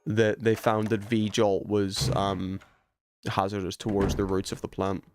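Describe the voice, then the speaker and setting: A man's voice: on the low side, monotone, a little slow, darkish tone, soft background music. A man chatting casually on a game livestream, thinking aloud, questioning himself and trailing off in informal, slangy speech. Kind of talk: casual livestream gameplay chat.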